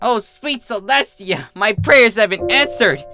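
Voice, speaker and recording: Storybook pegasus mare, played by a man: brash, blunt, impatient, pushed up high, music underneath. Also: expressive, unhurried. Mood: blissful. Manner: blunt, dramatic. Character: brash, impatient young female pegasus pony.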